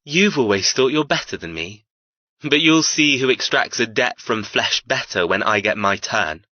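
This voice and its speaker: A man playing a cold, arrogant young heir in an audiobook, threatening and deliberate. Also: medium pitch, expressive, bright, forceful tone, dry recording, lo-fi sound. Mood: sneering threat. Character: cold menacing heir, cruel and possessive brother. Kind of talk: dramatic audiobook character acting.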